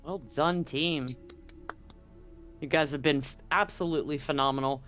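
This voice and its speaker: A man's voice: a little high, steady tone, moderate pace, a bit bright, faint music behind. A man as game master of a tabletop roleplaying game, explaining rules and recapping in a chatty way with frequent ums.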